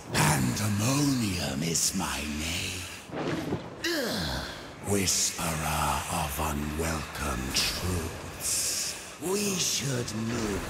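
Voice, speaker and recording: Gleeful, scheming daemon trickster for fantasy game. Gravelly, theatrical, extremely slow and savoured delivery. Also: male voice, low voice, noisy background, heavily acted. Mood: sinister, scheming. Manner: theatrical, mischievous, riddling delivery. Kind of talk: fantasy video game character lines.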